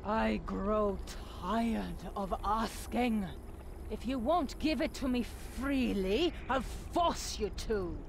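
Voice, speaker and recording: An actress playing a menacing, grandiose villainess in a video game, with a theatrical, drawn-out, haughty delivery. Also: female voice, fairly high pitch, creaky, noisy background. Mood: cold, gloating. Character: menacing, grandiose villainous woman claiming to be a goddess.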